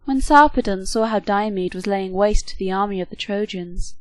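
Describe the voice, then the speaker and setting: A woman narrating a storybook tale in a steady, clear reading. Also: mid-range voice, moderate pace, dry recording, rough recording. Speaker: reader.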